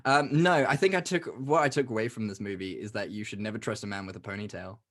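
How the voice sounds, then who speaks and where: A young man with a somewhat low voice co-hosts a casual movie podcast. He is chatty and laughs often, and he drops quick asides as he talks. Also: a bit bright. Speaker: podcast co-host. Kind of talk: casual chat.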